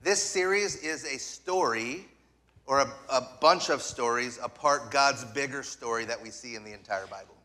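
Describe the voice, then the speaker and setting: A man preaching a sermon in short phrases, pausing for an interpreter. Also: medium pitch, bright, forceful tone. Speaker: guest preacher. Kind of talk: sermon in English with live interpretation. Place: church sanctuary.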